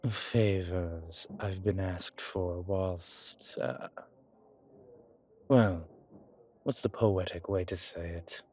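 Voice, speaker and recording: A man playing an old, vain, genteel vampire in an intimate audio drama, speaking formally and theatrically with hesitant pauses. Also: sits low, dark tone, slight background noise. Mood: wry, vain.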